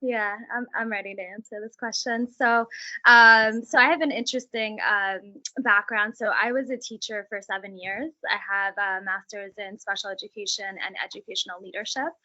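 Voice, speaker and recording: Flat, bright-voiced woman on a call. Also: mid-range voice, moderate pace. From Middle East. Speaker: business owner, former teacher. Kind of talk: roundtable remarks. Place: on a video call. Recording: dry, muffled video call audio.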